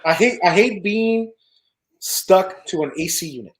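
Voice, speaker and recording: A man guesting on a casual podcast whose voice sits slightly high. He explains things in a halting way and often doubles back on his words. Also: animated. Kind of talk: casual chat.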